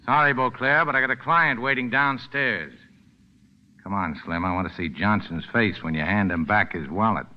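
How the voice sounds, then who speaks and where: An actor playing a curt, cynical American boat skipper with a clipped, dry delivery in 1940s-style radio drama. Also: male voice, fairly low pitch, normal speed, natural voice. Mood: firm, unmoved. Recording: muffled old radio recording.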